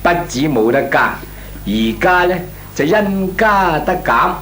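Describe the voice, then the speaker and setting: A man's voice: high voice, expressive, a little slow, fairly bright tone, slight background noise. A man playing a hapless, aggrieved worker in an old Hong Kong Cantonese radio comedy, whining and grumbling. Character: hapless, aggrieved working man, complaining to neighbours.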